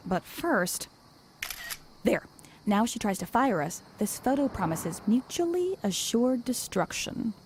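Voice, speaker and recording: A woman's voice: a little high, sing-song, slow pace, a little mellow, a little hiss or hum. A woman acting in an audio comedy drama as a flustered, dramatic office worker, speaking emphatically. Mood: scandalized, urgent.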